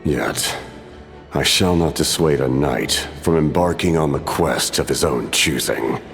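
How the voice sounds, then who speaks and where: An actor playing an ancient, solemn wolf god in a video game, speaking gravely and formally. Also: male voice, extremely low pitch, a little slow, a bit bright, faint music behind, full character voice. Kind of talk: dramatic video game voice acting.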